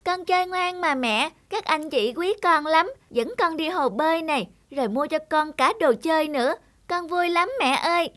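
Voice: sits high, moderate pace, sharp tone, no echo. An actor voicing an eager, affectionate young boy with a polite, pleading manner in a children's cartoon. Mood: cheerful, pleading. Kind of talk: dubbed children's cartoon dialogue. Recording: music behind the voice.

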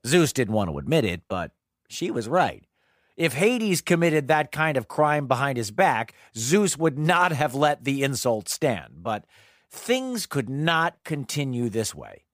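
A man's voice: medium pitch, big pitch swings, unhurried, no room sound, clean studio sound. A man hosting and narrating scripted stories for children, with chatty asides. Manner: conversational asides. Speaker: host and storyteller. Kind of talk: animated scripted storytelling for children.

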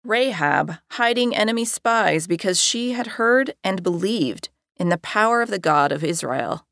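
A woman's voice: medium pitch, animated, measured pace, gravelly, a bit bright, no room sound, studio quality. A woman narrating her own nonfiction audiobook in a wry, conversational way.

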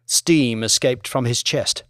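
A man narrating an audiobook in a dramatic scripted style, with deliberate, expressive phrasing. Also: medium pitch, dry recording, studio quality.

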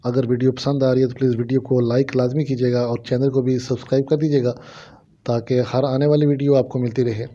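A man with a Pakistani accent gives an explanatory shop tour as a vlogger. His voice is mid-pitched and mellow, with little melody. He speaks casually and repeats himself for his viewers, and the phone audio is slightly muffled. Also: level tone, a little fast. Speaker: vlogger showing shop goods. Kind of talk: casual shopping vlog narration. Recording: dull, lo-fi phone camera audio.

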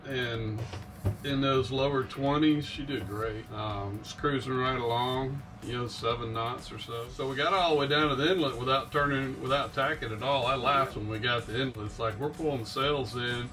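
A man's voice: mid-range voice, fairly even intonation, moderate pace, far from the mic, rough recording. A middle-aged man casually recounting a sailing trip as a vlog host, recorded in a small boat cabin. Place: small wood-panelled sailboat cabin.

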